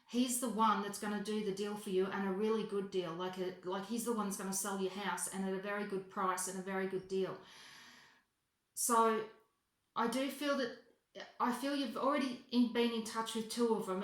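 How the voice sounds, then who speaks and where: A woman giving an unscripted psychic reading as a voicemail, halting and correcting herself as she goes, often repeating phrases for emphasis. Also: medium pitch, fairly bright tone. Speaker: psychic reader. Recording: roomy, echoing voice recording.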